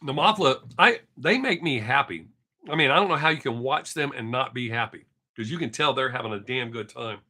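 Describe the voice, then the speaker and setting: Texan guy in his 50s rambling on his stream, cusses a lot, bright voice. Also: medium pitch, lively intonation, brisk. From Texas. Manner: rambling, profane, chatty with viewers. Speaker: live streamer. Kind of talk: casual live stream chat. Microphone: webcam mic.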